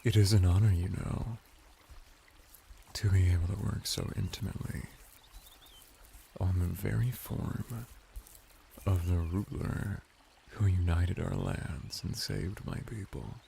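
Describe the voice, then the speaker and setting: Casting: male actor as a courtly, flirtatious merman for intimate ASMR roleplay; very low register, crackling vocal fry. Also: exaggerated voice. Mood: reverent, calm. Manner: soft-spoken, intimate, formal flattering speech with lingering pauses. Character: flirty, calm male merman bathhouse attendant, courtly and seductive. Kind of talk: ASMR roleplay addressed to the listener.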